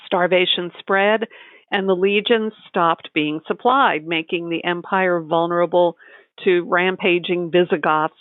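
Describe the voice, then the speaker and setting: A woman from the United States, a tax advisor, explaining in a measured, articulate way as a podcast guest. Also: on the low side, dry recording. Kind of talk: podcast interview. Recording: tinny phone-like line.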